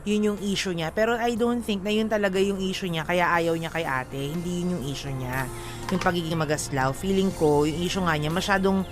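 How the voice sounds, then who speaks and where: Chatty Filipina radio co-host over faint music. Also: female voice, fairly low pitch, brisk. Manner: talkative, frank, slangy. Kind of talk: casual chatty radio banter.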